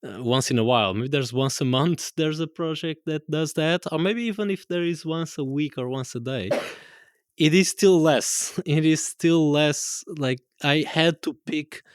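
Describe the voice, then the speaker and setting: A man's voice: on the high side, unhurried, smooth, dry recording, clean studio sound. A man from Portugal with a Portuguese accent, chatting casually on stage at a live event, rambling and correcting himself as he goes. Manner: rambling, self-correcting, laughs often. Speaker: guest musician. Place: live event room with audience.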